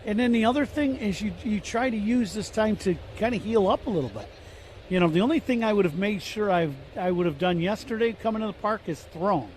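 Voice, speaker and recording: A man giving relaxed, rambling baseball color commentary on the radio, full of fillers, speaking as a former player. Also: sits high, brisk, a little hiss or hum. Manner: rambling, filler-heavy analysis.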